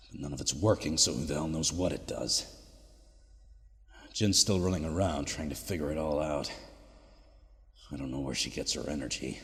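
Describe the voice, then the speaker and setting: Deep-voiced, brooding space soldier. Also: male voice, creaky, bright, forceful tone, big-room sound, heavily acted. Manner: brooding, gritty, swears. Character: weary space soldier recording a grim personal log. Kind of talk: audio drama log monologue.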